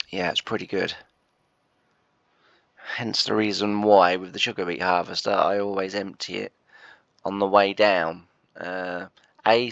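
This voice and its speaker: A congested man with a very deep, gravelly voice, chatting his way through a farming game. He rambles and hesitates, restarting sentences, and sounds croaky and stuffed up. The recording is dry and a bit dull, like a modest home mic. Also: a bit bright, no room sound. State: congested and croaky from a chest cold. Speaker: gameplay commentator. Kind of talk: casual let's-play commentary.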